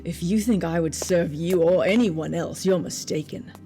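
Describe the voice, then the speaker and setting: A woman playing a bitter, guarded android assassin in a radio drama, speaking in a formal, grave and measured manner. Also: fairly low pitch, warm tone, faint music behind, close to an everyday voice. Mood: seething.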